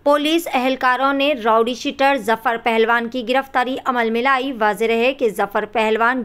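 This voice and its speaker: A woman's voice: on the high side, smooth. A woman anchor reading a news report in a formal, scripted style. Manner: formal Urdu-laden newsreading.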